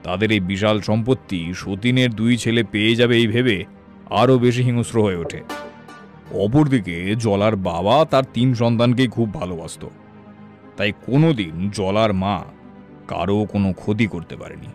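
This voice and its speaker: A man's voice: on the low side, moderate pace. A man narrating a dramatised cartoon story as its storyteller. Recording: faint music behind the voice.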